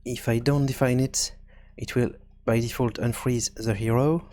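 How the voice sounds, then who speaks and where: A man giving an informal software tutorial, thinking aloud in a halting, self-correcting way. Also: mid-range voice, steady tone. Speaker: tutorial presenter.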